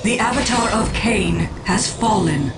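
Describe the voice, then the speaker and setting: A woman's voice: mid-range voice, lively intonation, unhurried, close to an everyday voice. Solemn, imperious alien seer played by a woman. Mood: grave, resigned. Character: proud, solemn female alien Eldar seer commanding her people. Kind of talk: dramatic video game acting. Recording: music bed underneath.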